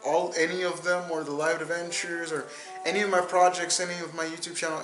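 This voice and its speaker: Man, 20s, solo podcast host, rambling and repetitive; slightly high voice, roomy echo. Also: fairly bright tone. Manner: rambling, repeats words and restarts. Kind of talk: casual solo podcast monologue.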